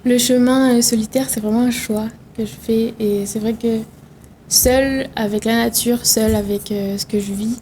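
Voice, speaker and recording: A woman's voice: a little high, fairly even intonation, moderate pace, warm tone, slight background noise. A young woman from Réunion, a musician guest answering interview questions thoughtfully, with fillers and restarts. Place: TV studio.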